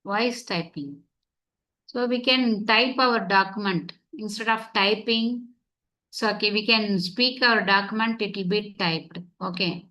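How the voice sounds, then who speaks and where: Bright-voiced woman teaching online. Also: mid-range voice, unhurried, low-quality recording. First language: Tamil. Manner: explains step by step, checks if students follow. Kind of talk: online class demonstration. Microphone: computer mic on video call.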